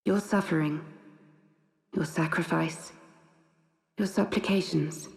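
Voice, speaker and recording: A solemn young woman, a divine emissary from a fantasy game. She speaks slowly and gently in stately, archaic phrases, her fairly low voice ringing in a long cavernous reverb. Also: steady tone, creaky, exaggerated voice. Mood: solemn, compassionate. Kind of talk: dramatic video game cutscene acting.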